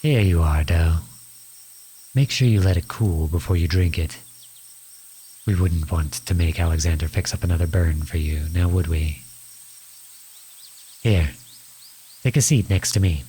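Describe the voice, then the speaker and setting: A man playing a kindly, teasing outlaw in an intimate ASMR roleplay, gentle and reassuring. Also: deep voice, unhurried, pauses often, slight background noise. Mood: warmly inviting. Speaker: audio roleplay voice actor.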